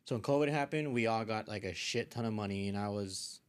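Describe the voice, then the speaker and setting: A man's voice: fairly low pitch, fairly quick, clear, resonant tone. A man chatting informally as a podcast guest, telling personal stories in a slangy, meandering way. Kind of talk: casual personal storytelling.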